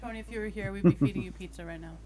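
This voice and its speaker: A woman's voice: on the low side, racing, background noise. A woman player chatting casually during a tabletop roleplaying game.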